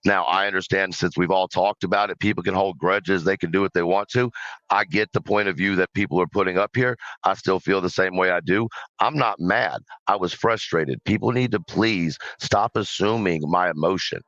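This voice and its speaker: A man with a loud, very low voice explains himself on a live audio panel. His tone is forceful and bright, and the lo-fi line sounds dry and close. He keeps his delivery measured and often checks in with "right?". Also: dry recording. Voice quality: loud, deep voice. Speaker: panel participant.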